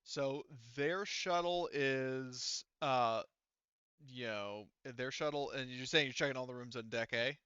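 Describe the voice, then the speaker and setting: A man narrating a tabletop game as game master, casually and haltingly, with informal, sweary phrasing. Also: mid-range voice, pushed and bright, smooth, no echo, muffled recording.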